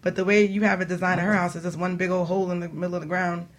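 A woman's voice: fairly low pitch, flat delivery, rapid-fire. A woman hosting a casual interview, informal and curious, with an enthusiastic way of talking. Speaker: host.

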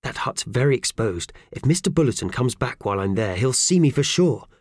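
Harassed, grumbling storybook everyman, played by a male narrator: exasperated, very lively pitch, reluctant hero. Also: fairly quick, slightly rough, dry recording, clean studio sound. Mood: wary.

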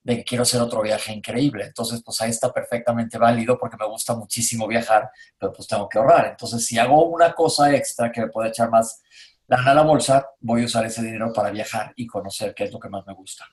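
Colloquial Mexican man, mid-pitched co-host. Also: fast talker. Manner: informal, colloquial, relatable. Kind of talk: casual conversational talk.

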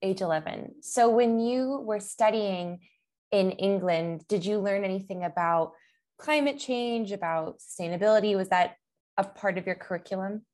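Young female podcast host, mid-pitched, meandering conversational questions. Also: normal speed, darkish tone. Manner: long, loosely built questions that search for words.

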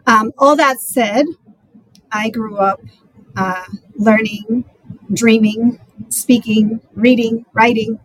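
A woman from the Republic, a Spanish speaker, speaking deliberately and searching for words as an interview guest. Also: on the high side, fairly even intonation, dry recording, low-quality recording. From Dominican Republic. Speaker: clinical associate professor, podcast guest. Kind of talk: reflective conversational interview answer.

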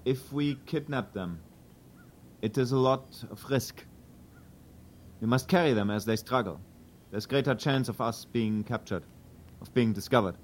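A man's voice: medium pitch, measured pace, long pauses, a little hiss or hum, natural voice. A man playing a big, earnest Prussian immigrant plotter with a German accent in a historical drama. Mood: worried. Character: big Prussian immigrant conspirator, resolute yet uneasy. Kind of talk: historical audio drama acting.

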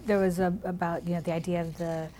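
A woman's voice: fairly low pitch, flat delivery, fast talker, warm tone, slight background noise. A woman whose first language is Spanish, hosting a reflective conversation about education, with frequent restarts. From California, raised in Minnesota. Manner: reflective, many restarts and "you know"s. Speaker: host.

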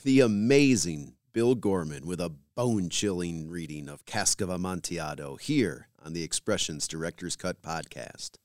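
A man's voice: low voice, lively intonation, normal speed, hardly any pauses, darkish tone, no room sound, clean studio sound. A man with an American accent reading scripted introductions as a podcast host.

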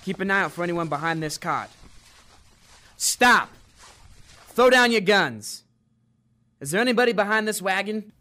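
Man acting a terse, high-voiced wartime army officer. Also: lively intonation, normal speed, long pauses, sharp tone. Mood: hushed, alert, then commanding. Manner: terse, gruff orders. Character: commanding American army officer in World War II. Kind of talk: radio drama acting.

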